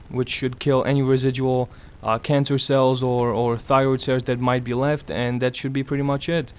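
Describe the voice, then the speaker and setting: A young man talks through a gameplay commentary in a weak, raspy voice, worn out and strained after surgery. He keeps it quiet and halting, pausing often, with a flat, even tone that stays smooth underneath the rasp. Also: medium pitch. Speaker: gaming commentator.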